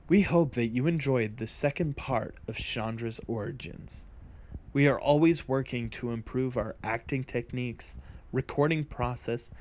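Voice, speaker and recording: A man who hosts the channel reading a fantasy story aloud in a measured, deliberate way. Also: medium pitch, darkish tone. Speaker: channel host and narrator. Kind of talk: scripted story narration.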